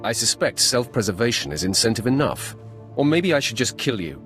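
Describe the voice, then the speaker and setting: An actor playing a cold, commanding Sith warrior in a video game, curt and imperious. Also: male voice, mid-range voice, big pitch swings, a little fast, a bit bright, faint music behind. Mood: threatening. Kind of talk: video game character acting.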